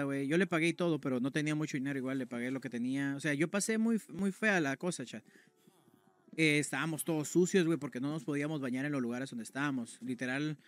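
A man's voice: on the high side, no echo. A young man streaming from a room at home, casually telling a personal story to his chat in a slangy, conversational way. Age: young adult. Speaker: game streamer.

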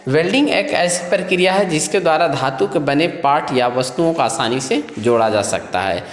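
A man's voice: fairly high pitch, lively intonation, moderate pace. A man teaching a welding trade course, reading from a textbook page and explaining each point step by step. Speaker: trade instructor. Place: voice-over over scanned book pages. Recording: echoey room with long reverb.

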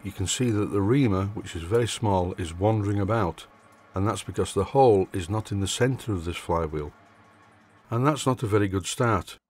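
An older man with a Yorkshire accent, reading a measured workshop voice-over with dry humour. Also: on the low side, a little mellow. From Yorkshire, England. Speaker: hobby machinist presenter.

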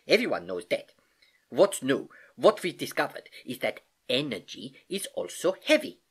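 Narrator acting animated, slightly husky elderly uncle. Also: mid-range voice, voice pushed up. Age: older. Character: elderly uncle, jovial, eager explainer of science.

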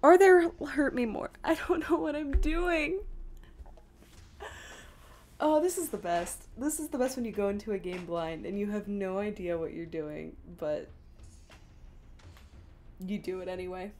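A woman's voice: mid-range voice, measured pace, long pauses, darkish tone, a little hiss or hum. A woman streaming a game, giving casual, self-deprecating commentary as she rambles and thinks aloud. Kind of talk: casual live gameplay commentary, reading chat aloud.

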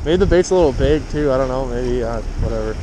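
A man's voice: mid-range voice, expressive. A young man vlogging while fishing on a pier, talking casually and getting worked up when fish strike and miss, recorded on a head-mounted camera outdoors. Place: outdoors on a windy lakeside pier. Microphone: head-mounted action camera. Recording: wind noise outdoors. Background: wind and rushing water.

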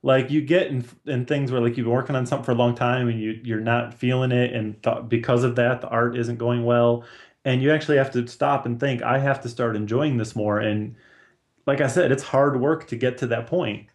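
A man's voice: medium pitch, fairly even intonation, a little fast. A man, talking casually as a podcast guest over a video call. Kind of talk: casual conversational discussion. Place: small room at home or office, on a video call. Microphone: video call with headphones.

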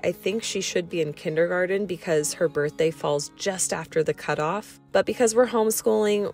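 Woman, slightly low voice, casual vlog narration, chatty and explanatory, faint music behind. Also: a little mellow. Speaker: vlog host narrating cleaning. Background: background music.

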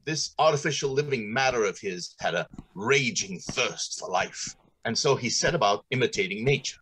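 A man's voice: mid-range voice, animated, moderate pace, a bit bright, a little hiss or hum, natural voice, poor audio. A middle-aged man playing a pompous, courteous factory general manager in old-time radio drama style, theatrical and businesslike. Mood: enthusiastic storytelling. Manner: theatrical, businesslike, hesitating with ums.

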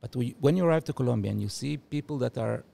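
A man in his forties, originally from Uruguay, talking in a podcast interview with halting restarts and fillers, on a studio mic. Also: mid-range voice, creaky, dark tone, a little hiss or hum. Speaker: guest, former diplomat. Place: studio office room. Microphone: studio mic with headphones.

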